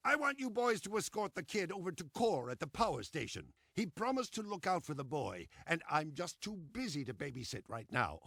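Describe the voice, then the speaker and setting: An actor playing a bossy, pompous old man who leads an underground resistance in a video game, with a raspy voice and a grumbling, commanding manner. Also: fairly high pitch, very animated, fairly quick, hardly any pauses, a bit bright, no room sound, clean studio sound, heavily acted. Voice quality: raspy, creaky. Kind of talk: animated video game cutscene acting.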